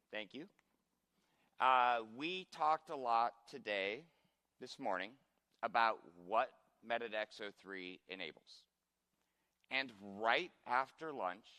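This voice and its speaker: A man moderating a conference panel and making announcements in an upbeat, brisk manner. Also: medium pitch, bright, forceful tone, clean tone. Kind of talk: event hosting. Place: conference stage in a large hall. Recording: long reverb of a large hall.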